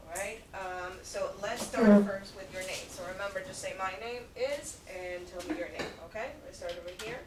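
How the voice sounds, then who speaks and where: Woman, English teacher, slow and patient, echoey classroom, heard from afar. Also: mid-range voice, crowd noise. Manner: slow, patient, repeats phrases for learners. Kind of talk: classroom instruction. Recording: echoey room, far mic, noisy.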